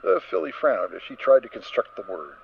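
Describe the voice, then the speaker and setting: A male narrator gives a dramatic story reading with theatrical emphasis. His pitch is mid-range and swings freely with the telling, on a slightly muffled recording with faint noise. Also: big pitch swings, a little fast, vocal fry.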